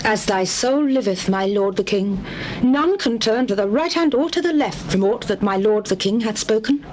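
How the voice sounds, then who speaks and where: A woman's voice: mid-range voice, animated, normal speed, noisy background, lightly acted. An actress playing a pleading widow before a king in a dramatized scripture recording, speaking in formal, theatrical, archaic phrases. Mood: earnest deference.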